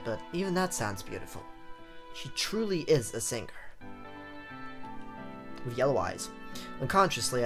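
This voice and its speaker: A man hosting a let's play, reading a visual novel aloud in a playful, theatrical way with jokey asides. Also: mid-range voice. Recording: game music behind the voice. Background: game music.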